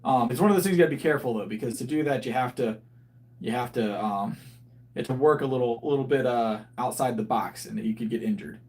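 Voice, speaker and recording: A man's voice: mid-range voice, quick pace, darkish tone. A man in his thirties chatting casually on a livestream, answering viewers and often restarting his sentences while he thinks aloud. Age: 30s. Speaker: livestreaming powerlifter. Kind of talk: casual livestream chat reading viewer comments.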